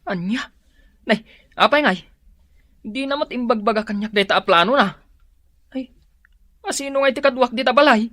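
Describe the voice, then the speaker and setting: A man's voice: very high voice, moderate pace, long pauses, bright, forceful tone, no room sound, clean studio sound. An actor with an Ilocano accent playing a stubborn, hard-drinking grown son who leans on his mother, in comedy radio drama. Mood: bewildered, complaining.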